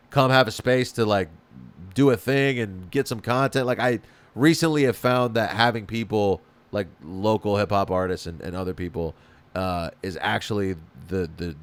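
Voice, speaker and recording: A man's voice: fairly low pitch, clear, resonant tone. A man who grew up in Spain, hosting a casual podcast chat, rambling and profane with lots of restarts. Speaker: podcast host.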